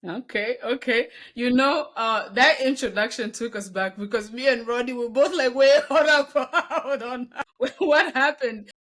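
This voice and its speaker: A young woman from the Democratic Republic of Congo hosting a casual podcast, talking in an animated, informal way into a desk microphone. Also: on the high side, unhurried. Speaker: podcast host. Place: small home room, indoors. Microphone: large desk condenser microphone.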